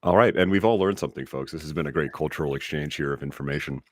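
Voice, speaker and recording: A man's voice: a bass voice, fast talker, dry recording, studio quality. A man hosting a live online session, chatty and upbeat, speaking straight to his audience over a video call. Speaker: host and trainer.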